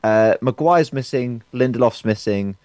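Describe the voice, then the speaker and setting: A man's voice: medium pitch, measured pace, a little mellow, dry recording. A man co-hosting a casual football podcast, gloating and giggling as he talks. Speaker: podcast co-host.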